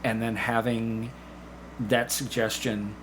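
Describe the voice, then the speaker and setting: A man's voice: mid-range voice, flat delivery, slow pace, fairly bright tone, slight background noise. A man being interviewed as a guest, explaining his work conversationally with illustrative examples. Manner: explanatory, acts out examples in quoted dialogue. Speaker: podcast guest, clinical hypnotherapist and community actor.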